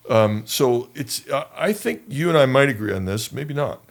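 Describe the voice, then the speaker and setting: A man hosting an interview, speaking in a measured, emphatic way and repeating his key points. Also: mid-range voice, expressive, vocal fry. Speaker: podcast host and interviewer. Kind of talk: conversational interview.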